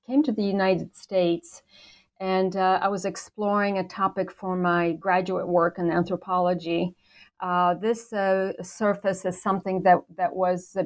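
A woman in her sixties from Poland, a professor answering interview questions thoughtfully, with many pauses, fillers and restarts. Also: lowish voice. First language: Polish. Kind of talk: academic interview.

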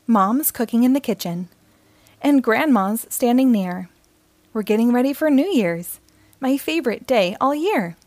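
A woman hosting a storytime show, reading a children's picture book aloud in an expressive, measured way. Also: a little high, dry recording.

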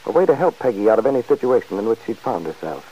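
A man's voice: on the low side, big pitch swings, fast, dark tone, slightly rough. A man narrating a 1940s radio drama in a solemn tone. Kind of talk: scripted narration. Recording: dull old radio recording.

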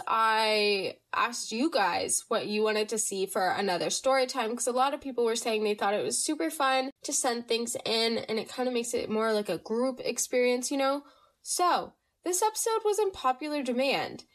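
A young woman hosting a casual storytime podcast, chatty and rambling, sounding a little nasal from allergies. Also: on the high side. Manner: bubbly, chatty, rambling with fillers. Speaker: podcast host.

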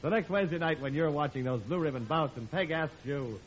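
A man's voice: on the high side, a little fast. An American man announcing and advertising on 1940s radio with a polished, emphatic salesman's delivery. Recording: dull, noisy old radio recording.

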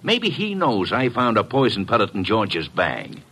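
An actor playing a stern, exasperated, husky police inspector in old-time radio drama style. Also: male voice, on the low side, wildly swooping pitch, measured pace, fairly bright tone, exaggerated voice.

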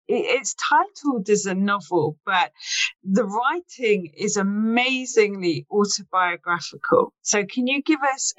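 A woman's voice: medium pitch, rough recording. Hesitant woman interviewer. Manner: hesitant, rephrases questions. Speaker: podcast interviewer. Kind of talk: podcast interview.

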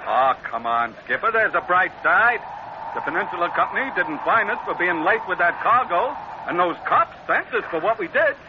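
An American actor playing a good-natured, joshing first mate in a 1940s radio adventure, folksy and easygoing. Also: male voice, sits high, very animated, moderate pace. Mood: consoling. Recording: muffled, noisy old radio recording.

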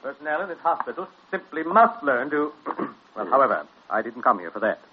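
A fussy, quick-talking hospital official. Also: male voice, medium pitch, sing-song. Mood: officious. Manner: officious, stammering, throat-clearing. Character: fussy, pompous, flustered male hospital administrator. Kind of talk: 1940s radio drama acting. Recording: muffled, hissy old radio recording.

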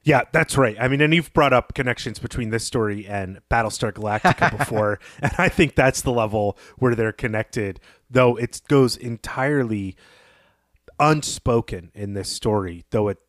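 A man co-hosting a podcast, discussing literature in a measured, analytical and explanatory way. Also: mid-range voice, no room sound, clean studio sound. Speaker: podcast co-host.

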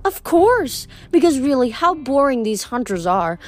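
A woman's voice: sits high, very animated, faint music behind. A woman voicing a vain, gushing goddess of love who drawls and coos dramatically. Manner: breathy, drawn-out, dramatic gushing.

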